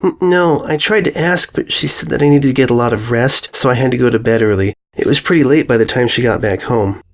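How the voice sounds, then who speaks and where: A man voicing a shy, anxious young schoolgirl pony in a storybook reading, stammering and hushed. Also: medium pitch, fairly quick, gravelly, natural voice. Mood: flustered.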